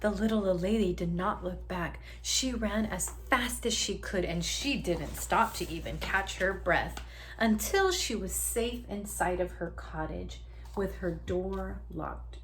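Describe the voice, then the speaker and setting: A woman reading a story aloud to young children, expressive, drawing out words, dropping into hushes and asking the listener questions. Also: mid-range voice, a little slow, a little hiss or hum, low-quality recording. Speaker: children's story reader, teacher.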